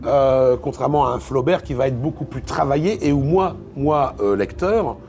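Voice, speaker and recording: A man's voice: medium pitch, normal speed, a little mellow, slight background noise. A man talking casually as an interview guest, opinionated and colloquial, with emphatic repetitions. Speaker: interview guest, literature video creator.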